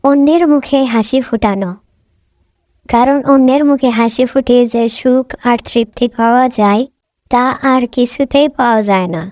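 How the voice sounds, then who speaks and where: A woman voicing a brave, wise young daughter who speaks calmly and earnestly. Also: a little high, normal speed, no echo, clean studio sound, natural voice. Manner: calm, earnest, resolute.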